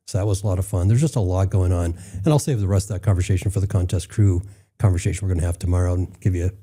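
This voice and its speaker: A man's voice: low-pitched, very fast, dark tone. A man hosting an interview, asking questions in an enthusiastic, chatty way. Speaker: podcast host.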